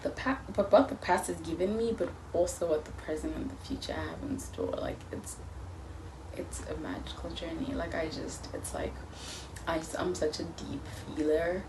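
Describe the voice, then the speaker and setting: Early 20s woman vlogging, kinda low voice, thinking out loud, drifts into whispers, noisy room. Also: normal speed. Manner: earnest, musing, trails off into soft near-whispers. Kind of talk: reflective personal vlog.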